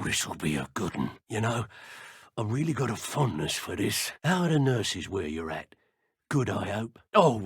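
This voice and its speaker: An actor voicing a cocky, sneering dark wizard thug for a video game, with a British accent and colloquial, taunting, menacing banter. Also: male voice, on the low side, gravelly, breathy edge, no room sound, clean studio sound, heavily acted. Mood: mocking. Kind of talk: video game character voice clips.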